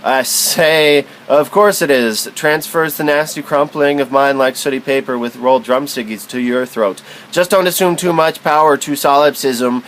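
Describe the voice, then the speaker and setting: A man giving a literary spoken-word recitation in a theatrical, halting, wordy way, stumbling and repeating himself. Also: mid-range voice, a bit bright, a little hiss or hum.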